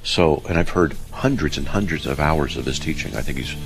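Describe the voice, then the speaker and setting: Radio call-in host, man, chest cold coming on; extremely low, gravelly voice, relaxed and chatty. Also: brisk, over music. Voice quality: very deep, gravelly voice. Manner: relaxed, chatty, explains scripture plainly. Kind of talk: casual radio call-in talk.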